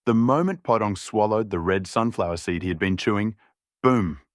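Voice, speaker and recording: A man's voice: on the low side, moderate pace, no room sound, studio quality. A man narrating a scripted fiction audiobook.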